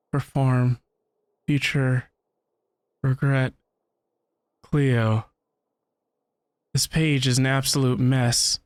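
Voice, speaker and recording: Male game streamer, medium pitch, expressive dramatic reader with deliberate phrasing, dry close-mic sound. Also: no echo. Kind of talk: reading game text aloud dramatically.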